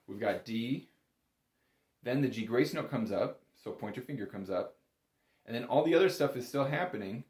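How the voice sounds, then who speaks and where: A man's voice: mid-range voice, a little mellow, reverberant. A man giving a casual, step-by-step music lesson as an instructor, in an informal, reassuring and encouraging manner. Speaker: bagpipe instructor.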